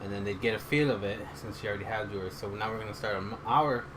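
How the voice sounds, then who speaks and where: A man's voice: lowish voice, fast. Casual male podcast host, loose and jokey, recorded with a noisy background. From Texas. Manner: loose and joking, many fillers. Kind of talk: casual chat.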